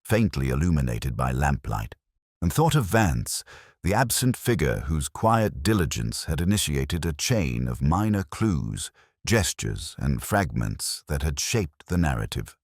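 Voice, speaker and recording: Very deep-voiced man narrating a detective story. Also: very animated, no room sound, studio quality. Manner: measured, reflective, solemn delivery.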